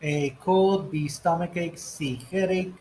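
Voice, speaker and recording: A man's voice: on the high side, fairly even intonation, takes it slowly, warm tone, a little hiss or hum. A man teaching an English lesson, going through textbook exercises step by step and reading the example phrases aloud.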